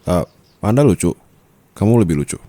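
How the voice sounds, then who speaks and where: A man with an Indonesian accent playing a dominant, teasing, possessive rich husband in an intimate ASMR roleplay, speaking softly and slipping in English endearments. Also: low voice, lively intonation, no room sound. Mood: teasing, caring. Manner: soft, slow, mixes in English endearments.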